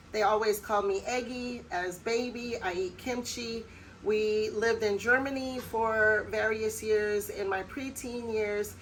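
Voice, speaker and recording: A woman's voice: mid-range voice, a bit bright, poor audio. Middle-aged american lady chatting to camera, friendly and personal, bit of faint noise in the background. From United States. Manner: chatty, personal, with quick laughs. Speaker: vlogger. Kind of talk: casual talk to camera.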